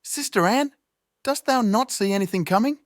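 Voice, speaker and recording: A man's voice: sits high, expressive, normal speed, no echo, studio quality, voice pushed up. A man voicing a frightened young wife in a fairy tale, pleading anxiously in formal, archaic words. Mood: urgent, desperate. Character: distressed, pleading young wife in mortal fear. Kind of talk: storybook character voice in narrated fairy tale.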